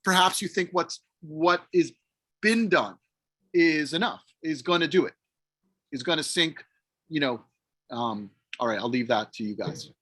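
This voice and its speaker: A man's voice: on the high side, animated, unhurried, pauses often, a bit bright, slightly rough, dry recording. Male panel moderator, stumbling questions, close dry mic. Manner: rambling, hesitant questions.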